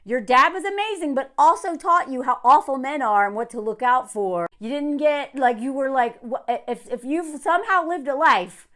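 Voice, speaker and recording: An American woman from the South talking to camera in a casual, emphatic rant, sardonic and quick to find things funny. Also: high voice, pushed and bright. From southern United States. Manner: emphatic, sardonic, laughing often. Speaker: online content creator.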